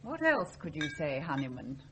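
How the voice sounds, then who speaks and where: Sardonic rich daughter, lilting and tired of it all, radio drama, faint hiss. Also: female voice, low-pitched, huge pitch swings, normal speed, heavily acted. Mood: resigned. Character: weary, sardonic grown daughter of tycoon.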